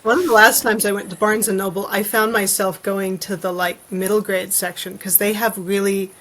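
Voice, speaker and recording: A woman's voice: medium pitch, flat delivery, moderate pace, a bit bright, low-quality recording. A woman talking casually as a podcast guest, in a conversational, informal way. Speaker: podcast guest, illustrator and author. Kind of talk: casual chat.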